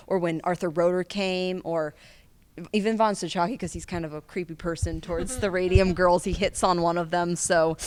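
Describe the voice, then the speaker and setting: Teen female student actor, lowish and smooth, closely recorded and dry, informal interview answers. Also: no echo. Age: teens.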